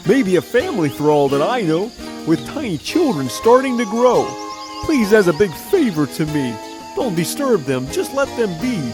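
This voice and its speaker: A performer playing a gentle, protective male elephant in a children's audio drama, sincere and pleading. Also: mid-range voice, big pitch swings, moderate pace, close to an everyday voice. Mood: earnest, pleading. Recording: music behind the voice.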